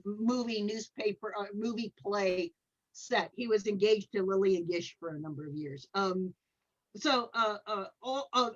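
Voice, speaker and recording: A woman's voice: medium pitch, fairly bright tone. A woman explaining things casually as a panelist, on a dull lo-fi recording. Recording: dull, lo-fi sound.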